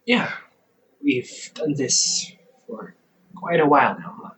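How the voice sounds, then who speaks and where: A man performs an older brother in an intimate audio roleplay, a male scholar who teases gently and reassures. His delivery is unhurried and halting, with pitch that moves freely up and down. There is faint noise behind him on a hobby microphone recording. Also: very animated, heavily acted, rough recording. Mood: reassuring, reflective. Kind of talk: intimate audio roleplay, one-sided conversation.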